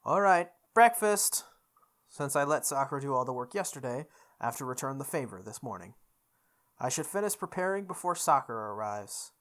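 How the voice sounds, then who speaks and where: A let's play host reading a visual novel's story aloud in a deliberate way, with occasional stumbles. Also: medium pitch, expressive, a bit bright. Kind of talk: read-aloud narration of a game's story. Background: background music.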